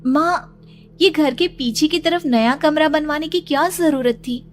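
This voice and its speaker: A woman voicing an anxious young pregnant daughter in an animated horror story. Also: sits high, unhurried, pitched above the performer's own voice. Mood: uneasy, questioning.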